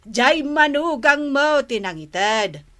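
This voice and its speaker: A woman's voice: sits high, animated, slow, fairly bright tone, full character voice. A woman with an Ilocano accent playing a bossy, scolding older mother and wife in a radio drama. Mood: patient, explaining. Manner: scolding, fussing.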